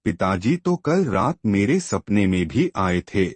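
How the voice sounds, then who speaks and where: A man acts an earnest, respectful younger relative in a cartoon moral tale. His voice is fairly low and slightly rough, with animated intonation at a slow pace. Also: no room sound. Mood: surprised, earnest. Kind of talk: Hindi moral-story cartoon dubbing.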